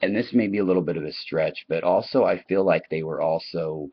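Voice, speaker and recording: A dull, lo-fi recording of a man with an extremely low voice. He talks off the cuff as a video host, musing and halting, drifting into asides as he goes. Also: slightly rough. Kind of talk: rambling unscripted monologue. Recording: dull lo-fi sound.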